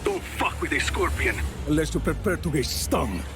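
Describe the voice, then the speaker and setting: An actor playing a gritty, defiant action hero in a video game, dryly wry and bent on revenge. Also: male voice, a little high, big pitch swings, normal speed, over loud music. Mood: determined. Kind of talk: cinematic video game acting.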